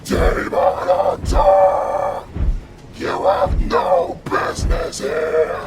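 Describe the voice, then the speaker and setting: Gravelly, slow-speaking gargoyle warlord, bombastic and wrathful, fantasy audio drama, loud music underneath. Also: male voice, high voice, full character voice. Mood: threatening. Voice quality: gravelly, growling monster voice. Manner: bombastic, threatening, archaic speech. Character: menacing, wrathful gargoyle chieftain, a monster servant of darkness.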